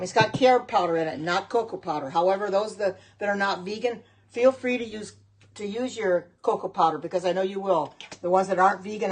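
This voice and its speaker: A chatty middle-aged American woman talks her way through a home livestream in her kitchen, her voice sitting at an ordinary middle pitch. She rambles, loops back on herself and stops to answer viewers' comments as they come in. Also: husky. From United States. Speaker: home cook and vegan livestreamer. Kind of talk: casual livestream chat with viewers.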